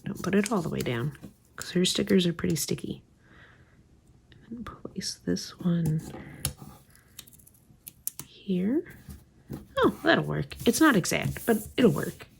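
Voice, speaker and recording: A woman's voice: on the low side, sing-song, slow pace, creaky, long pauses, slight background noise. A woman with a slight cold and a stuffy voice, chatting casually as she plans, thinking aloud and often dropping to a whisper. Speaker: planner vlogger. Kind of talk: casual craft chat while planning.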